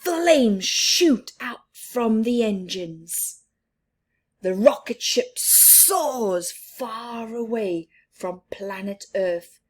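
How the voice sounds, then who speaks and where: A woman reading a children's picture book aloud, taking her time over every phrase. She is expressive, often drops into soft whispers and then lifts her voice again. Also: medium pitch, slightly rough. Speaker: children's story reader.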